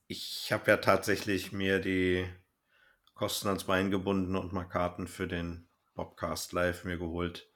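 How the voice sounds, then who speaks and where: A man with a low-pitched voice hosts a podcast. He talks in a casual, conversational interview style. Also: completely flat delivery, a little slow, a bit bright. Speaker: podcast host.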